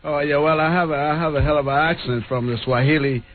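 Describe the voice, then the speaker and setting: A man talking as a guest on a radio show, answering in drawn-out, mumbled replies. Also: fairly high pitch, fairly even intonation. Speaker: radio guest, building security guard. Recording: tinny phone-like radio with faint hiss.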